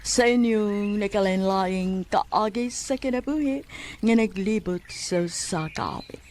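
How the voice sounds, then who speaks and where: Slow-paced woman radio drama announcer. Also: mid-range voice, darkish tone. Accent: Cebuano Filipino. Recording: studio voice over faint music.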